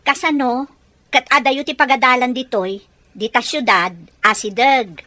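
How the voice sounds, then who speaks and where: A woman playing a bright, blunt older sister. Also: sits high, fairly even intonation, measured pace, dry recording. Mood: reproachful. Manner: blunt, reproachful.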